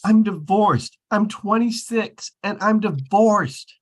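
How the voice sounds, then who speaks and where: A man's voice: high-pitched, slow pace. A man playing a heartbroken divorced man of twenty-six in a sitcom table read, sounding plaintive. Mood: self-pitying.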